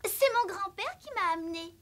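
A woman's voice: extremely high pitch, expressive, moderate pace, slight background noise. A woman voicing an eager, innocent, kind-hearted little girl in a French cartoon dub. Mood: polite, curious. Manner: bright-eyed, eager, innocent. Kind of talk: French animated series dub.

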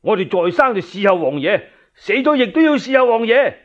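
A man with a Cantonese accent voicing loyal, defiant household servants in a radio story, shouting insistently. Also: high voice, very animated, a little fast, pitched above the performer's own voice. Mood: defiant, fervent. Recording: dull lo-fi radio recording.

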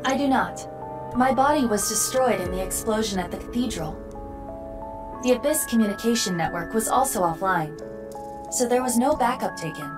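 A woman's voice: on the high side, animated, moderate pace, lightly acted. A woman voicing a polite, formal female AI eyeball companion in a video game, speaking with precise diction. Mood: calm formal. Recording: game audio with background music.

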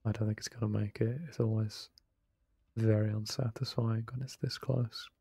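A young man rambling softly in casual late-night commentary over a game he is playing. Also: fairly low pitch, flat delivery, normal speed, creaky, breathy edge, no room sound, studio quality. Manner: soft-spoken, rambling, self-deprecating. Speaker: let's play creator. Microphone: close desk microphone, no webcam.

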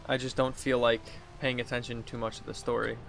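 A man's voice: mid-range voice, flat delivery, fairly quick, gravelly. A man in his thirties giving casual, rambling let's play commentary, thinking aloud as he plays. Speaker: gaming video host. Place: indoors at a computer desk.

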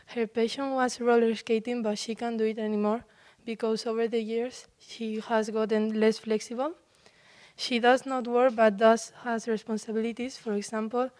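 A sixteen-year-old girl reads her part of a class presentation into a handheld mic in a meeting room. Her voice sits a bit high and stays flat, with little rise and fall. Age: teens. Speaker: student presenter.

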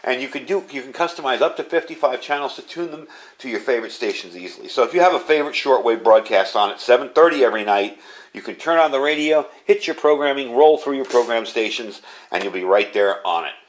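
A man's voice: medium pitch, animated, quick pace. A man giving a casual hands-on product review in a conversational, enthusiastic way. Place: indoor workshop room at a desk.

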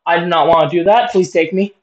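A man's voice: sits high, fairly even intonation, quick pace, lo-fi sound. A man giving casual, joking reaction commentary, swearing now and then and reading on-screen text aloud. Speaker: reaction video host.

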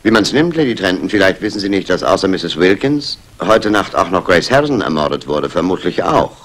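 A sardonic police inspector with a low male voice. His intonation swings widely as he probes, dry and wry, in the style of a vintage radio crime play. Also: big pitch swings, a little fast, fairly bright tone, full character voice. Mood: probing. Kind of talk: 1960s German radio drama acting.

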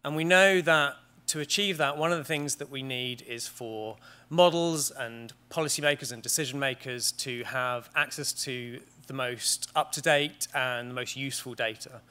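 Bright-voiced British man presenting on stage. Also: mid-range voice, smooth. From Great Britain. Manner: measured, with frequent ums and restarts. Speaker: conference speaker. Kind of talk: conference presentation. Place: large wood-panelled auditorium.

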